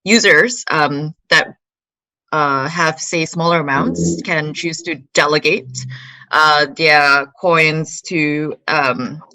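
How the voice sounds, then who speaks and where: A woman's voice: low-pitched, fairly even intonation, slow, sharp tone, no room sound, poor audio. A woman speaking as a panel guest in an online discussion, hesitant, with many fillers while she searches for words. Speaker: panel guest, marketing head.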